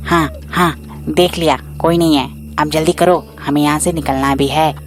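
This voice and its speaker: A woman's voice: sits low, steady tone, normal speed, soft background music, lightly acted. A woman voicing a young male character in a children's cartoon moral tale. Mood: eager, hurried. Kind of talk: cartoon story acting.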